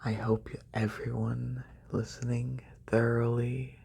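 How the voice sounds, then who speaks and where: A man whispering softly as an ASMR creator addressing listeners, polite and formal. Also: medium pitch, little pitch movement, slow, vocal fry, slightly rough. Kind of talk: soft whispered ASMR outro.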